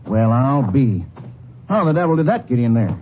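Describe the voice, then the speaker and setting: A man's voice: mid-range voice, sing-song, moderate pace, lightly acted. An actor playing a defensive man who insists he is innocent, in a 1950s radio drama. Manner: protesting, insistent. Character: defensive man accused of insurance fraud. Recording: muffled old radio broadcast with hiss.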